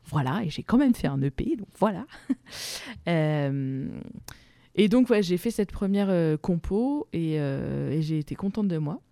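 Woman musician, halting interview talk with a warm gravelly edge. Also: low voice, no echo. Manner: hesitant, many fillers and restarts, laughs often. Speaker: musician and playwright. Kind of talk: casual interview answers.

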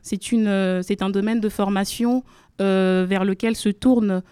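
A woman's voice: medium pitch, fairly even intonation, unhurried, warm tone, clear, resonant tone, dry recording, studio quality. A young woman from the Democratic Republic of the Congo, a law student guest on a radio interview, speaking formally with many hesitations. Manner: hesitant, many fillers, careful formal phrasing. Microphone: studio microphone.

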